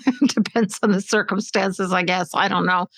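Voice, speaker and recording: A woman co-hosting a podcast. Her voice is medium in pitch, her talk casual and reflective, and the recording is dry. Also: steady tone, normal speed, a bit bright, no room sound. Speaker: podcast co-host.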